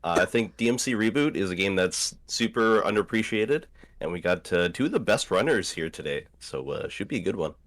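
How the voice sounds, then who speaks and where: A man's voice: low-pitched, animated, a little fast. A man co-commentating a game speedrun race, upbeat and casual, his voice a little off from recent illness. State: voice slightly off from illness.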